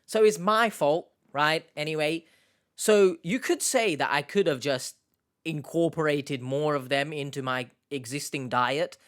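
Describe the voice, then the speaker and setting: A man's voice: on the high side, a little slow, fairly bright tone. A young man, hosting a casual Q&A, rambling and joking as he answers viewer questions. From Turkish Cypriot heritage, based in the UK. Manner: jokey, rambling, self-mocking. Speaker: YouTube host.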